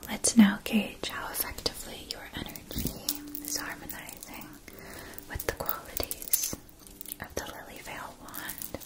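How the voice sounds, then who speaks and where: Woman playing a slow, whispering witch wandmaker. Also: natural voice, rough recording. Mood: calm, absorbed. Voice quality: breathy whisper. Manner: hushed, soothing, unhurried, deliberate. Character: gentle, attentive witch wandmaker serving a young student. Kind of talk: ASMR roleplay, whispered.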